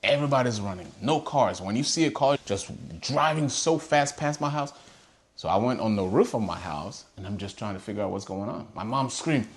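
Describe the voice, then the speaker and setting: A man from Haiti, his voice sitting on the lower side, delivers a heartfelt monologue straight to his audience. He is emphatic and earnest, pressing his points with pointed rhetorical questions. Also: animated, brisk. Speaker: host. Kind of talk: earnest personal monologue to camera.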